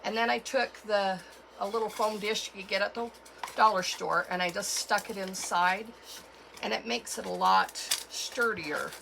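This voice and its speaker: A woman with a cold and a froggy, hoarse voice, chatting casually as she walks through a craft tutorial at home. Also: medium pitch, steady tone, background noise. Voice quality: froggy, gravelly. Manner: chatty, unhurried, with fillers and self-corrections. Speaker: craft channel host.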